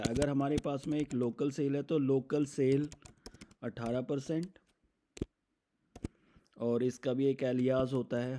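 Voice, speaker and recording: An Indian man presenting a step-by-step software demo in an explanatory, instructional manner, mixing in English terms. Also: medium pitch.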